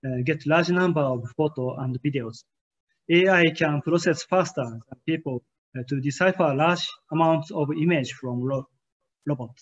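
Man, hesitant keynote delivery. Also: a little high. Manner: hesitant, frequent "uh" fillers, careful formal phrasing. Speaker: keynote speaker. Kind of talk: conference keynote presentation over slides. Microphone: webcam on a video call. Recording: slightly muffled video-call audio.

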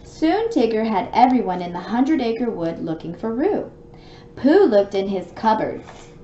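A woman reading a picture book aloud to a small child in an expressive, sing-song, child-directed way at home. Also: a little high, a little slow, roomy sound. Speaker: children's story time reader. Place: room at home with bookshelves. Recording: dull lo-fi home recording with noise.

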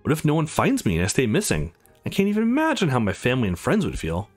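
A man's voice: mid-range voice, wildly swooping pitch, fast talker. A man voicing an anxious, hot-tempered, desperate young man in a visual novel, over music. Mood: worried. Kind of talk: visual novel dialogue read aloud.

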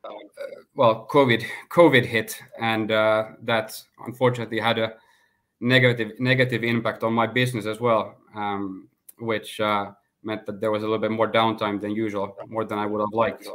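Thirties man from Finland, low monotone, guest talking business over a webcam call. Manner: measured, flat delivery with filler pauses. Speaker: guest, sports consultant. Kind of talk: video call interview. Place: home room, indoors. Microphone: laptop webcam on video call.

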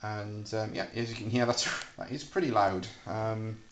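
A middle-aged British man casually demonstrating a homemade device in a hesitant, halting, unscripted way. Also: on the low side, steady tone. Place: small hard-walled room indoors. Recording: echoey room, clicks and faint hiss.

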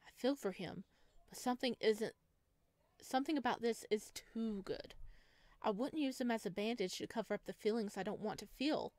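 A woman's voice: a little high, moderate pace, darkish tone, no echo. A woman streamer reading a visual novel aloud expressively and dramatically, adding her own commentary, close to the microphone.

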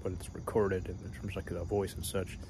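Hesitant deep-voiced man, crickets behind. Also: level tone, a little fast. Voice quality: fairly deep voice. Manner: hesitant, full of fillers and trailing thoughts. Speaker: vlogger. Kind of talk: rambling casual monologue. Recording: loud cricket noise behind voice. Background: crickets and insects at night.

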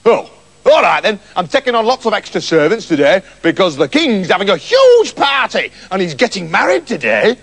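A man's voice: sits high, wildly swooping pitch, normal speed, fairly bright tone, exaggerated voice. A man voicing a rude, bossy palace cook who scolds a new servant. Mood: scolding. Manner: brusque, scolding.

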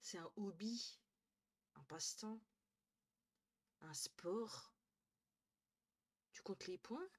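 A tired young woman from northern France chatting casually with her stream viewers, thinking aloud, swearing now and then and dropping to quiet murmurs, recorded on a headset at home. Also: mid-range voice, very slow, pauses often. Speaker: Twitch streamer. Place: small room at home, indoors.

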